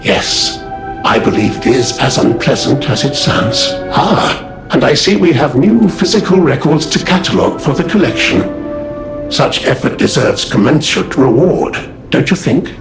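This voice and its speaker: An actor playing a courteous, fastidious puppet butler in a video game, formal, prim and deferential. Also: male voice, medium pitch, big pitch swings, music in the background. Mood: pleased, courteous. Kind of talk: scripted video game dialogue. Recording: roomy echo.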